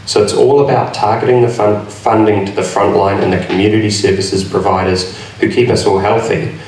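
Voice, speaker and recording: A New man with a slightly low voice makes his party's case at a candidates' forum, assured and on-message. The mic sits far off in a big, echoing hall, with faint room noise. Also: steady tone, fairly quick, a little mellow. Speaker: election candidate. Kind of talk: public candidate forum answer.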